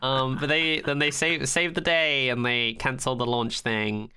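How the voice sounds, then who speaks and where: A man's voice: mid-range voice, steady tone, a little slow, sharp tone, smooth. A man co-hosting a podcast, recapping a TV episode in casual, sweary chat peppered with "like". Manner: sweary, frequent "like", acts out quotes. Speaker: podcast co-host.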